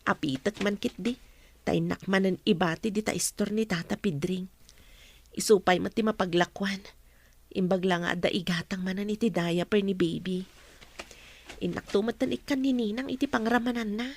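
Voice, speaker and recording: An actress playing an earnest, suspicion-prone young wife who sells farm produce, with a Filipino Ilocano accent, in radio drama style. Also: female voice, medium pitch, moderate pace, darkish tone, a little hiss or hum, natural voice. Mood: cheerful, musing. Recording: music bed under radio drama dialogue.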